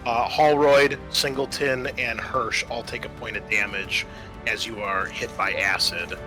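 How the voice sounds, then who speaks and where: Bright-voiced male game master. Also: on the low side, fairly even intonation, measured pace, soft background music, poor audio. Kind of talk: running a tabletop roleplaying game, improvised rulings.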